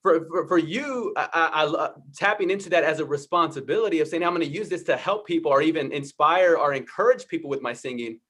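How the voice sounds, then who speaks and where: A man hosting an interview, responding in a warm, affirming, reflective way and often stumbling over repeated words. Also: fairly high pitch, lively intonation, fast, few pauses. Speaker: interview host. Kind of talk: conversational interview.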